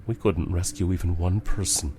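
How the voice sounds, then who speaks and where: A man's voice: sits low, fairly even intonation, moderate pace, mellow, slight background noise, close to an everyday voice. A man playing a humane, commanding but weary starship captain in a science fiction audio drama. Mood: weary, regretful.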